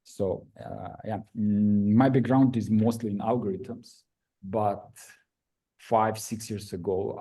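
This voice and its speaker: A man's voice: lowish voice, creaky, mellow, breathy edge. Man giving a seminar talk. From Croatia. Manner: non-native phrasing, frequent "uh" fillers. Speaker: invited speaker, researcher. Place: lecture room.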